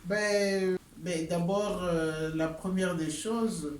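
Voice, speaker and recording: A woman's voice: on the low side, fairly even intonation, very slow, echoey room, recorded from a distance, a little hiss or hum. A woman in her sixties from the Republic of the Congo, an adult English learner, answering interview questions hesitantly, with many fillers and restarts. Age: 60s.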